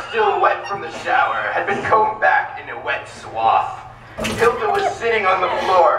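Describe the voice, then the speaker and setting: Man, humorous dramatic storytelling, hugely swooping intonation, roomy distant noisy recording. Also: mid-range voice. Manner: animated, theatrical delivery.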